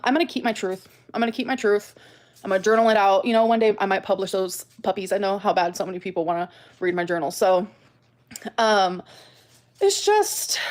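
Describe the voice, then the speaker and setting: A woman video creator talking casually to her viewers, emphatic and defensive, often repeating herself. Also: medium pitch.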